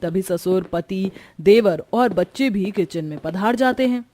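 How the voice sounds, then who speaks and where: A woman's voice: mid-range voice, animated, measured pace, dark tone. A woman delivering scripted storytelling narration for a cartoon tale.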